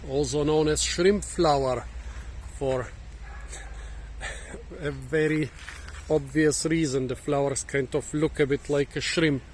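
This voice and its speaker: A hoarse man, musing and halting as he thinks aloud while hosting a casual fruit-tasting vlog outdoors in a garden, recorded on a phone. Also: fairly high pitch. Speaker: fruit channel host. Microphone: phone camera.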